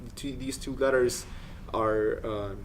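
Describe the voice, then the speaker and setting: A man's voice: medium pitch, fairly even intonation, a little slow, slight background noise, low-quality recording. Man from Egypt, explaining patiently. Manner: explanatory, with many um fillers. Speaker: student. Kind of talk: interview answers.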